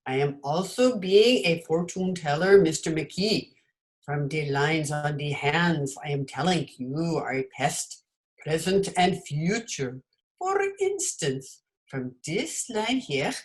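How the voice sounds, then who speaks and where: Woman playing a slow, deliberate foreign piano teacher. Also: sits low, lively intonation, poor audio. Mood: instructive. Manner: foreign-English syntax, deliberate speech. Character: female piano teacher and fortune teller, foreign, blunt. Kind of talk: old-time radio comedy acting.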